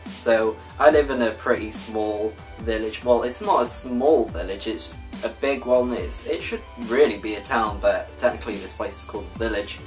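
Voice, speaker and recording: Young welsh guy rambling to his viewers, lots of ums and likes, soft music under it. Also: on the low side, moderate pace, hardly any pauses. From Wales. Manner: rambling, chatty, self-correcting with many fillers. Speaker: gaming YouTuber. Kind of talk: casual vlog talk to viewers.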